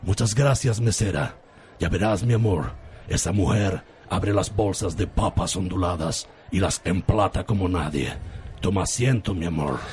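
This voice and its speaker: Melodramatic doting leading man played by an actor, low voice, florid delivery, radio parody. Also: normal speed, a little mellow, slight background noise, lightly acted. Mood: courteous. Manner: courtly, florid. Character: doting, melodramatic telenovela gentleman lover. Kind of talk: parody radio soap opera acting.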